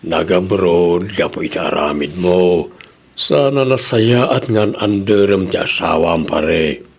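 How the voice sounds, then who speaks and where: A man acts a blunt friend in an Ilocano audio drama, teasing and admonishing his pal. His voice is low, gravelly and husky, with an Ilocano accent. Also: animated, takes it slowly, exaggerated voice.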